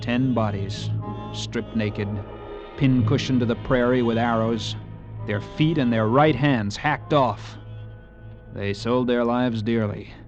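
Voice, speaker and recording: A man's voice: mid-range voice, slow pace, close to an everyday voice. A muffled vintage radio recording with music behind it carries a man playing a young American cavalry lieutenant. He narrates in a dramatic, brooding style, bitter and eager for action. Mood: grim, solemn.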